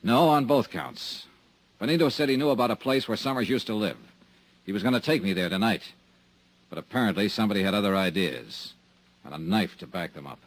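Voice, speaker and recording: Hard-boiled insurance sleuth, low voice with animated inflection, deadpan wit, vintage radio. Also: male voice, a little fast, no echo, poor audio. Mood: wry, guarded. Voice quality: dry, sardonic, tough-guy delivery. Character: wisecracking male insurance investigator, tough and dry. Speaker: lead actor and narrator. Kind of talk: 1950s radio drama acting and narration.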